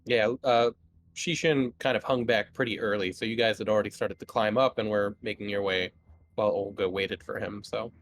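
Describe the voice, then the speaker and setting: A man running a tabletop game, improvising narration casually with halting explanations. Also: on the low side, steady tone. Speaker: game master.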